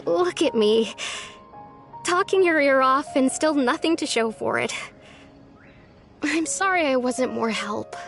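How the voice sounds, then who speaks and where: A woman voicing a gentle, lonely young village woman in a video game, speaking softly and earnestly. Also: high-pitched. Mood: reflective. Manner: soft, wistful and earnest. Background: faint game music.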